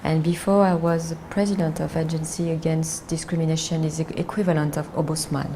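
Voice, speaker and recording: A middle-aged Frenchwoman speaks halting, careful English with a strong French accent, pausing to search for words. Her voice sits low and mellow with a gravelly edge. A faint hiss of background noise sits under her. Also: flat delivery. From France. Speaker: documentary interviewee. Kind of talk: reflective personal interview.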